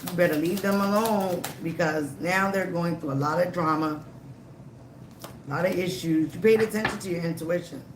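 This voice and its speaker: Slightly raspy tarot reader in echoey room. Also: mid-range voice, normal speed, background noise. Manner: emphatic, repeats phrases, frequent "okay?" and "all right?". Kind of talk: live tarot reading to viewers.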